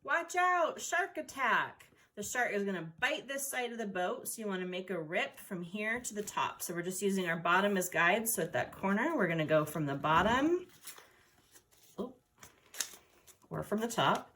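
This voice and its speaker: A woman's voice: medium pitch, lively intonation, normal speed, sharp tone. A woman giving step-by-step craft instructions for children in a playful, informal way. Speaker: origami instructor.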